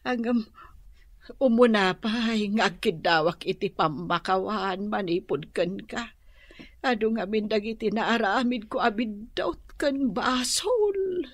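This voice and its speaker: An actress playing a complaining middle-aged auntie in an Ilocano radio comedy-drama, with an Ilocano accent and drawn-out exclamations. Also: female voice, medium pitch, animated, no room sound. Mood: sheepish, pleading. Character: complaining older auntie, grumbling and ungrateful, later pleading.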